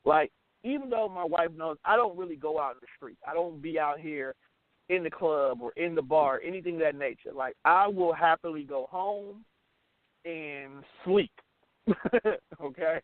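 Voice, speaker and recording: A man's voice: fairly high pitch, normal speed, no echo. An American man, middle-aged, talking casually and candidly as a radio show host, blunt and emphatic, often repeating his phrases. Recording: tinny, phone-like band-limited audio.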